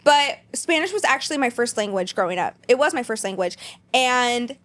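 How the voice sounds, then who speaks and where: Young texas woman on a podcast, kinda high voice, just chatting about growing up. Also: animated, moderate pace, fairly bright tone. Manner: candid, chatty. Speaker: podcast guest. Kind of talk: casual storytelling.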